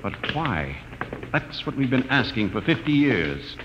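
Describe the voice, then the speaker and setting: An actor playing an earnest, fascinated space-expedition scientist, thoughtful and explanatory, in old radio drama style. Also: male voice, mid-range voice, very animated, measured pace. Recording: dull, noisy radio recording with long reverb.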